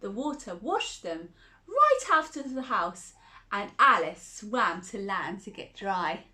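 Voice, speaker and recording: Slow bright-voiced woman reading a kids picture book, cozy n playful, lots of little asides. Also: medium pitch, very animated. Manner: cozy, playful, laughs between lines. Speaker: storyteller reading to children.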